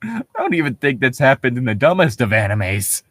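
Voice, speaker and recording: A man's voice: mid-range voice, very animated, brisk, no echo, studio quality. A man reading a story aloud mockingly, with casual, sarcastic joking and some swearing. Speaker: co-host of a comedic story reading.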